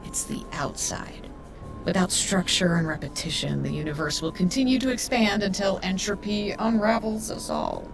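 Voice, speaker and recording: A woman's voice: medium pitch, moderate pace, vocal fry, over music, natural voice. An actress playing an obsessive, analytical woman scientist in an audio drama, precise and prone to swearing. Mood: dazed, fixated.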